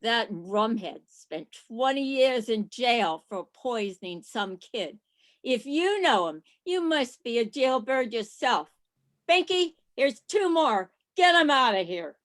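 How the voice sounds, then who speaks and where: A woman playing a gruff, hostile barman in a radio play, curt and contemptuous. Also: on the high side, big pitch swings, slow. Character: gruff, hostile male bartender Nick, adult. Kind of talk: community theatre radio play acting. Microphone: video call.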